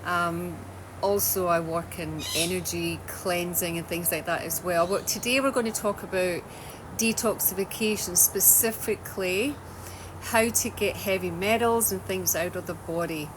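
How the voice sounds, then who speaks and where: A woman's voice: lowish voice, fairly even intonation, moderate pace, noisy background. A woman with a Scottish accent, a wellness coach talking casually on a livestream, loose and chatty and often restarting her sentences. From Scotland. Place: home in Australia.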